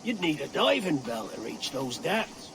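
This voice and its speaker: A man's voice: on the high side, sing-song, a little slow, noisy background. A man playing a fiery, blunt pirate captain in a video game, defiant and salty in manner. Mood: gruff, businesslike. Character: fiery, gruff pirate captain defending his republic. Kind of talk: video game dramatic acting.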